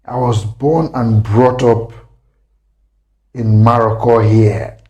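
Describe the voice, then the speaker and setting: A man voicing a bold, calm, vengeful girl recounting her past in slow, deliberate, halting phrases, as in dramatic storytelling. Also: mid-range voice, lightly acted. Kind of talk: dramatic first-person storytelling.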